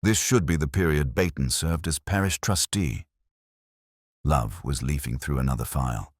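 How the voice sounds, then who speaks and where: A man narrating a mystery story from a script in a measured, literary way. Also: rumbling low, dry recording, studio quality. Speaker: audiobook narrator.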